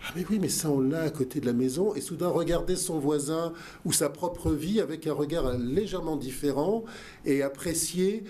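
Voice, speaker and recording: A man's voice: fairly high pitch, moderate pace, warm tone. A man guest on a talk show, speaking earnestly with many fillers and restarts. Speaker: guest, museum director. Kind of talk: radio talk show interview.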